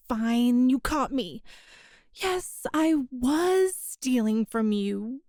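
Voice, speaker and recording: A woman's voice: a little high, big pitch swings, very slow, darkish tone, no echo, studio quality. Woman playing a flustered cat-girl burglar. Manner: sheepish, flustered, defensive. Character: young cat-girl burglar, sly but pitiful. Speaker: ASMR roleplay performer. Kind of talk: ASMR audio roleplay.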